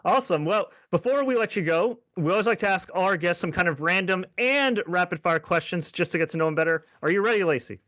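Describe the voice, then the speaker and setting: A man's voice: high voice, animated, quick pace, hardly any pauses, fairly bright tone, no room sound. A man hosting an interview, asking questions in an upbeat, friendly way, sometimes repeating words as he starts a sentence. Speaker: TV interview host.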